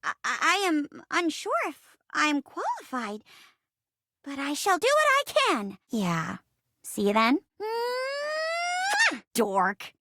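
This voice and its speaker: Super high bright girly game character, dorky theater girl, dry close sound. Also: slow, no room sound, exaggerated voice. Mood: casual, teasing. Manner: expressive, dramatic, slips between stagey and casual. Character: theatrical dorky young woman, amateur actress, playful and earnest. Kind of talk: video game character dialogue.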